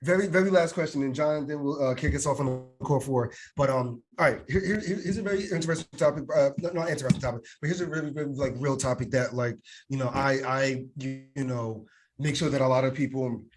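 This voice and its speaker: A man with a mid-range voice interviewing casually as a podcast co-host. He talks haltingly, restarting and padding his questions as he works toward the point. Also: mid-range voice. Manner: halting, heavy use of "like", circles around questions. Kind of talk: casual chat.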